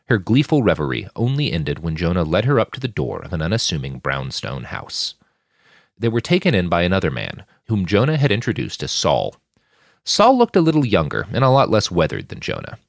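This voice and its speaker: A man's voice: low voice, gravelly, dry recording, clean studio sound. A man reading scripted fiction as an audiobook narrator.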